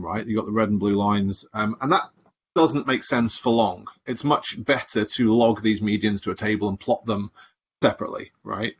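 A middle-aged man giving a screen-share presentation on a video call, explaining things in an unhurried way with plenty of fillers. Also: fairly low pitch, fairly bright tone, husky. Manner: explanatory, thinking aloud with many fillers. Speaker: community presenter. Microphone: video call webcam. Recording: dull lo-fi video call audio.

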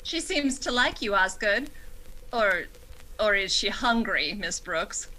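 A woman's voice: medium pitch, expressive, takes it slowly, bright, forceful tone, a little hiss or hum, poor audio. A woman playing a cheery middle-aged wife and mother in old-time radio comedy style, sweetly coaxing.

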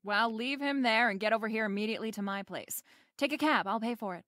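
A woman's voice: on the high side, quick pace, bright, forceful tone, dry recording, clean studio sound. An actress playing a wisecracking, flirtatious female private eye with a snappy, wry manner in a radio detective drama. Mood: bossy. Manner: snappy, wry, hard-boiled. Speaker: lead actress. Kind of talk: remake of 1940s radio detective drama, with narration.

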